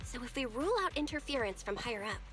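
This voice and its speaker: A woman's voice: fairly high pitch, lively intonation, fast talker, fairly bright tone, soft background music. A woman playing an earnest, dutiful young soldier in a video game, polite and eager.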